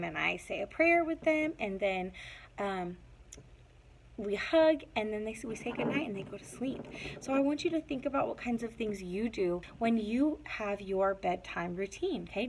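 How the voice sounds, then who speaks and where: A woman's voice: mid-range voice, mellow. A woman teacher doing a read-aloud lesson for young children, speaking in simple, instructive phrases. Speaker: teacher reading a picture book aloud to young pupils.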